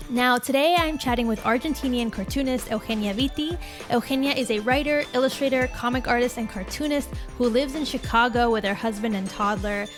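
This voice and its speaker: A woman from Chile hosting a casual interview podcast, chatty, with many "like"s and "um"s. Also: fairly high pitch. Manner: warm, chatty, lots of "like" and "um". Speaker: podcast host.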